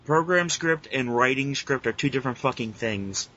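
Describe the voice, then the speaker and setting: A man's voice: medium pitch, normal speed, sharp tone. A man co-hosting a podcast, chatting casually with friends in a grumbling, profane way. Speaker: podcast co-host. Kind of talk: casual group chat. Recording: muffled lo-fi audio, background noise.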